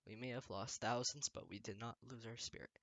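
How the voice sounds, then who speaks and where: Guy acting as presidential spokesman, formal solemn speech, very dry recording, mid pitch. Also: steady tone, a little fast, gravelly, fairly bright tone, no room sound. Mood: solemn, resolute. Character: solemn presidential spokesman reading national TV address. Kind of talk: formal scripted speech, amateur drama.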